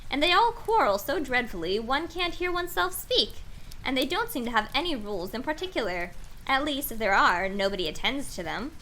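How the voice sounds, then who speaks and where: A woman's voice: sits high, big pitch swings, brisk, bright, forceful tone, a little hiss or hum, voice pushed up, exaggerated voice, rough recording. A woman voicing a curious, indignant young girl in a storybook, prim and fretful as she complains. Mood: complaining.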